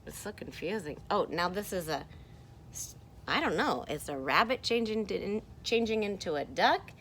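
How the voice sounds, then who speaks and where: A woman teaching kindergartners in a read-aloud lesson, speaking expressively and pausing to ask questions. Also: medium pitch, a little hiss or hum. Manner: expressive, asks questions and pauses for answers.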